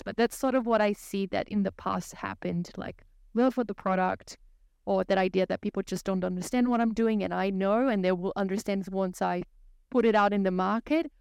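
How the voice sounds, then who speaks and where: A woman's voice: medium pitch, vocal fry, darkish tone, clean tone, no echo. A woman with a Brazilian accent and native Portuguese, explaining things conversationally as a podcast guest.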